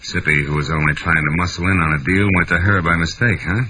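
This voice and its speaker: An actor playing a hard-boiled, world-weary American private detective in a vintage radio drama, speaking in terse, dry, slangy lines. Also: male voice, low-pitched, steady tone, fast, background noise, close to an everyday voice. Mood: accusing, pressing. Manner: terse, dry, slangy first-person storytelling. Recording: old radio broadcast with music.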